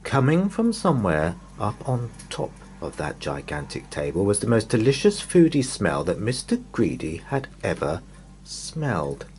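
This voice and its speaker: A man reading a children's bedtime story in an expressive, dramatic way, lingering on repeated words. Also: on the low side, normal speed, a little hiss or hum.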